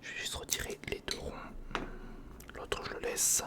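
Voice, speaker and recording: An ASMR creator whispering informal, step-by-step commentary to the viewer while building something by hand, close to the microphone. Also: normal speed. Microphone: close recorder or studio microphone.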